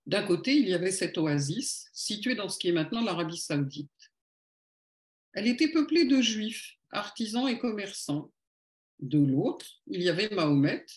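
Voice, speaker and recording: A woman giving a polemical lecture at an evening meeting, with sardonic, ironic asides. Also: medium pitch.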